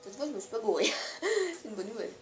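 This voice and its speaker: A woman's voice: on the high side, sing-song, fast, roomy sound, faint music behind. A woman with a cold, giving hesitant step-by-step building instructions over gameplay. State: stuffy with a cold. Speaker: gaming video creator.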